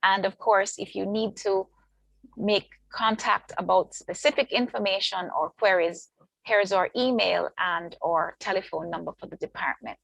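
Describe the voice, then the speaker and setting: A woman lecturer giving an online orientation talk over a video call. Her voice has a gravelly, fry-tinged edge, and the sound is close and dry. She lists points steadily, pausing now and then with "um". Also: medium pitch, a bit bright, dry recording, poor audio. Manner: measured, list-like delivery with frequent ums. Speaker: university lecturer presenting her department.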